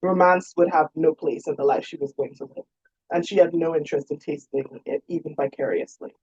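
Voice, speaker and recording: A woman's voice: sits low, fairly quick, darkish tone, breathy edge. A woman author reading her own romance novel as scripted narration. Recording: roomy, dull lo-fi sound.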